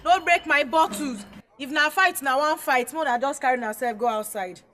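A brusque Nigerian eatery madam played by a woman in an audio drama. Her voice is high and bright, and she talks in a no-nonsense, scolding Pidgin. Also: normal speed, a little hiss or hum. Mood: brisk, bossy. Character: brusque, bossy woman running a small eatery.

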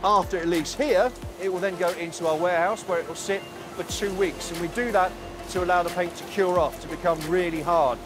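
A man in his forties leading a tour of a large factory floor, explaining each production step in a calm, clear way. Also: high-pitched, brisk. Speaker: factory guide. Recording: music bed under voice.

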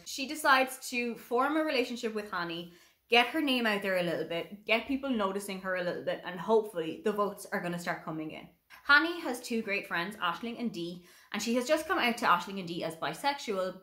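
A woman's voice: medium pitch, fairly bright tone. Irish woman reviewing books, roomy sound. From Ireland. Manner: earnest, enthusiastic recommending. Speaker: book reviewer. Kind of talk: casual book review to camera. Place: small room at home with bookshelves. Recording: roomy home recording.

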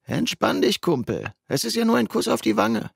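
Man voicing a cheeky, higher-pitched teenage best buddy. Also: very animated, moderate pace, creaky, husky, no room sound, studio quality, heavily acted. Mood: soothing, amused. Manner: casual, teasing. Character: teenage boy, cheeky, goading best friend.

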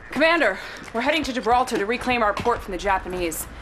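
A woman's voice: fairly high pitch, expressive, fast, a bit bright. A woman playing a brash, cocky young commando in a game, casual and punchy, in a noisy battlefield mix.

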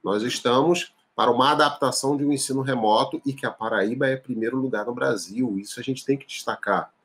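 A man's voice: mid-range voice, brisk, a bit bright. A man in his forties from Rio de Janeiro, answering interview questions as a teacher over a video call from home. He talks expansively and often adds tag questions. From Rio de Janeiro, Brazil. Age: 40s. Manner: expansive, gestures freely, frequent tag questions. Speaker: teacher and musician, guest. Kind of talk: relaxed conversational interview answers. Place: home room, indoors. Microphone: video call, laptop webcam.

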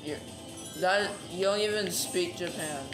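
A woman's voice: lowish voice, a little slow. A young woman playing a video game with a friend, teasing and joking in casual chat. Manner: teasing, exasperated, jokey. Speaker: game player. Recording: echoey room, game music behind.

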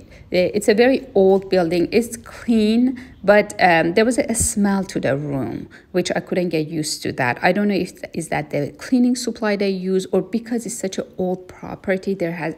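A woman giving a casual spoken travel review, unhurried and plain, with frequent fillers. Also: fairly low pitch, creaky, a little mellow. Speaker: travel vlogger.

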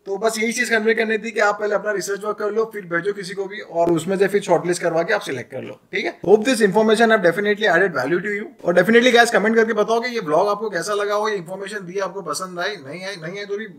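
High-voiced Indian man chatting. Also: fairly even intonation, a little fast, few pauses, a bit bright. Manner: chatty, explanatory, mixes English phrases into talk. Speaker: footwear dealer and vlogger. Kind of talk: casual advice vlog to camera. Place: small indoor room. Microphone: camera at arm's length.

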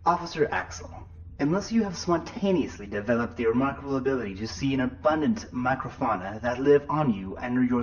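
A man plays a pedantic spaceship computer in a sci-fi audio drama. It speaks formally and precisely at middle pitch, through a filter that sounds muffled with faint noise. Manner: precise, officious, wordy. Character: formal, pedantic, matter-of-fact shipboard computer. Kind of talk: science-fiction audio drama acting.

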